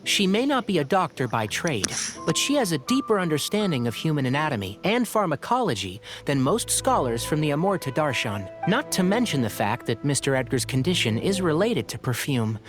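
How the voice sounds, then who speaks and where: A man's voice: a little high, very animated, brisk, few pauses, music in the background. A man playing a clever, considerate young scholar in a video game, articulate and considered in his delivery.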